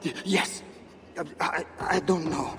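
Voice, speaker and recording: An actor playing men of a medieval church in a video game cutscene. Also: male voice, a little high, steady tone, unhurried, fairly bright tone. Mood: nervous.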